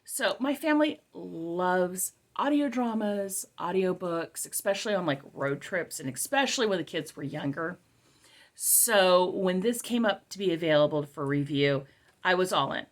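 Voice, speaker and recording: A woman's voice: on the low side, expressive, moderate pace. A middle-aged woman giving a casual, conversational product review, repeating key phrases for emphasis.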